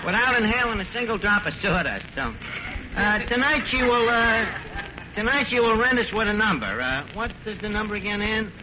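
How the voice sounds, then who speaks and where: An actor playing a folksy, word-mangling tavern manager, in a 1940s radio comedy style with hesitant, rambling patter. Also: male voice, sits high, expressive, normal speed. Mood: breezy, rambling. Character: folksy malapropping tavern manager, breezy wisecracking middle-aged man. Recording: dull, very noisy old radio broadcast.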